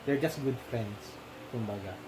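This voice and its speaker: A man's voice: medium pitch, measured pace, background noise. A man with a Filipino accent chatting casually about film, often searching for words as he switches between two languages. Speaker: movie discussion host. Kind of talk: casual film discussion in Tagalog-English mix.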